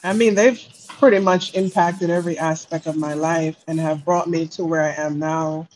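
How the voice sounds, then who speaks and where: Soft-spoken woman, low voice. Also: a little hiss or hum, poor audio. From Jamaica. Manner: calm, reflective, many "um" pauses. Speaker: guest. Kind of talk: casual podcast interview.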